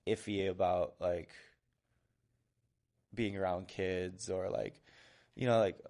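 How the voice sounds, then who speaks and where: A man's voice: sits low, steady tone, slow, darkish tone. A man in his twenties from Hawaii, a guest in a casual interview, rambling with many fillers and restarts.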